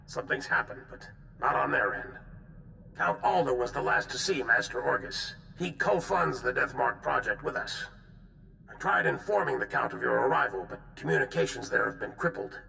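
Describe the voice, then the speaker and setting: An actor playing a grave, hard-bitten alien general in a video game, with clipped military delivery and a gravelly voice over a hologram transmission. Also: male voice, mid-range voice, animated, moderate pace, sharp tone, echoey room, slight background noise, full character voice, poor audio. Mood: resolute. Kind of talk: video game cutscene acting.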